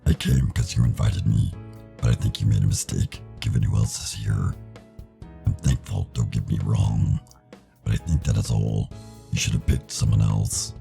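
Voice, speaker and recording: A man voicing a gruff, quick-tempered young wolf character from a visual novel, blunt and grumbling in a gravelly growl. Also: rumbling low, steady tone, dark tone, faint music behind, pitched below the performer's own voice, exaggerated voice. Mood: sullen.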